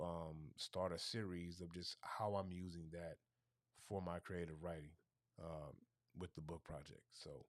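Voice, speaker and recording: A man hosting a casual livestream, talking through his plans in a rambling way and going hoarse. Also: low voice, no room sound, studio quality. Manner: rambling, thinking aloud with restarts. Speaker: livestream host.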